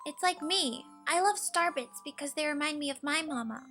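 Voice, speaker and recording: Woman voicing an eager, high-pitched little star creature. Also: moderate pace, pushed and bright, faint music behind. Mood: tender, comforting. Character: small excitable childlike star creature, cheery, devoted, comforting.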